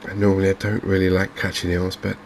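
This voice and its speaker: A soft-spoken man giving unhurried ASMR commentary on a video game. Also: low voice, monotone, a little hiss or hum. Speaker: gaming video host.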